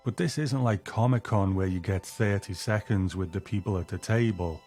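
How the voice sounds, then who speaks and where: A man's voice: fairly low pitch, dark tone. A man hosting a show, delivering scripted narration. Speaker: podcast host.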